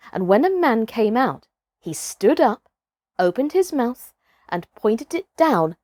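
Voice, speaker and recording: Slow, expressive woman storyteller. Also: fairly high pitch, no echo, studio quality. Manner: deliberate, dramatic storytelling. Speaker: storyteller and host of a children's storytime. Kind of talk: expressive children's audiobook narration.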